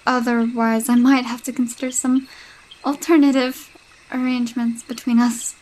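A woman's voice: a little high, level tone, normal speed, natural voice, rough recording. A young woman voicing a possessive, jealous girlfriend with a sinister streak, sweet-talking and coaxing in a roleplay audio drama. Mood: creepy menacing. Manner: sweet-talking, coaxing, with unsettling laughs and hushed asides.

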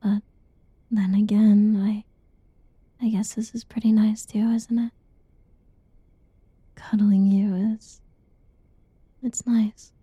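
A young woman whispering softly in a teasing, cuddly ASMR roleplay, with giggles between lines. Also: medium pitch, level tone, takes it slowly, long pauses, slightly rough, no room sound. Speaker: roleplay narrator.

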